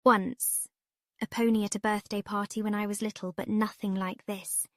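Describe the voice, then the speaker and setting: A woman's voice: medium pitch, level tone, normal speed, no room sound, studio quality, natural voice. A woman playing a curious, polite and earnest young witch girl in a comic dub. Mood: curious, admiring.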